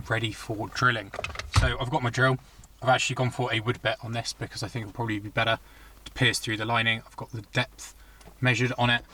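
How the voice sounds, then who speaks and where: A man presents gear in an informal vlog style, explaining each step as he goes. His voice sits at an ordinary middle pitch. There is clear ambient noise behind him. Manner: informal, explanatory, thinking aloud. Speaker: vlogger reviewing and installing car gear. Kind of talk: casual product review and hands-on demonstration.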